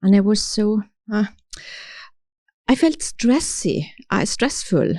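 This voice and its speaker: A middle-aged woman from Austria with an Austrian accent, telling her story as an interview guest, halting now and then as she searches for words in English. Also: medium pitch, sing-song, warm tone, no echo, studio quality. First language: German. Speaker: podcast guest, city official. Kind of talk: conversational interview, personal storytelling.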